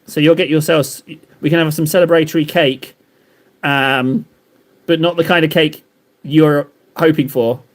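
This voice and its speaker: A man with a fairly high voice chats casually on a live stream as he paints. He rambles, cracks self-deprecating jokes and answers his viewers in a loose, playful way. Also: fairly even intonation, a little slow. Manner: rambling, jokey, banters with chat.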